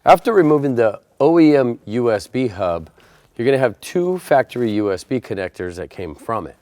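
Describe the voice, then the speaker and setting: A man's voice: mid-range voice, big pitch swings, moderate pace, mellow, a little hiss or hum. A man presenting a how-to installation tutorial, explaining each step in a plain, methodical way. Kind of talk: step-by-step instructional narration.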